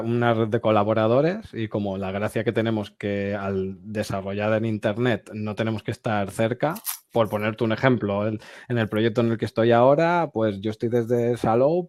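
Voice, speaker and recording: A man's voice: lowish voice, a little mellow, no echo, studio quality. A man in his thirties from Barcelona, a guest speaker explaining things in a casual, chatty way on a video call. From Barcelona, Spain. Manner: chatty, explanatory, laughs easily. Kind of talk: casual presentation and chat. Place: home office, indoors. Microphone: video call with earbud headset.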